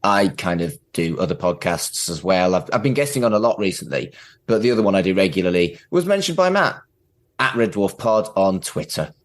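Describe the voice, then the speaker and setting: Male podcast host, fairly low voice, casual conversational delivery. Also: very animated, brisk.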